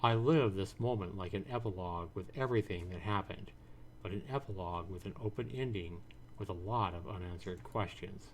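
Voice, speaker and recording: A man's voice: sits low, brisk, a little mellow, slight background noise. A man, a game streamer, reading the narration of a visual novel aloud. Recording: music behind the voice. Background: guitar music.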